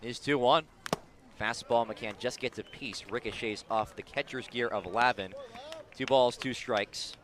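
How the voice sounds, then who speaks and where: Bright-voiced man calling baseball on radio. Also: mid-range voice, unhurried. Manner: clipped play calls that rise with the action. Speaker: baseball play-by-play announcer. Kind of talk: live sports radio commentary. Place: outdoors at a ballpark.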